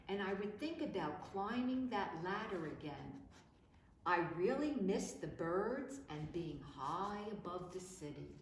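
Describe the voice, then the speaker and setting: A woman reading children's picture books aloud in an expressive, dramatic storytime manner. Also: on the low side. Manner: animated, dramatic, measured. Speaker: library storytime reader. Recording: echoey room with background noise.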